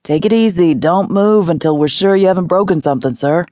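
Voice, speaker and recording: An audiobook narrator voicing a young male medic who speaks softly and reassuringly. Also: high-pitched, brisk, no room sound, pitched above the performer's own voice, natural voice. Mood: gentle. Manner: soft, reassuring. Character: young male EMT, gentle and calm.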